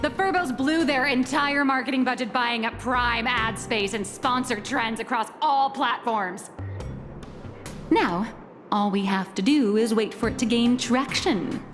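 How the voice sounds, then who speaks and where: Woman playing a brash, high-voiced newsroom boss. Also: unhurried, big-room sound, over music, close to an everyday voice. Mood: confident. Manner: bossy, theatrical, coaxing. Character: brash, confident female news editor-in-chief, scheming and upbeat. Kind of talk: video game character acting.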